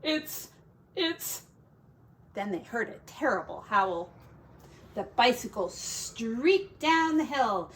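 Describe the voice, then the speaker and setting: A woman's voice: mid-range voice, fairly bright tone, slight background noise, low-quality recording. A woman who teaches, reading a picture book aloud to children expressively, drawing out key words for drama and adding casual asides.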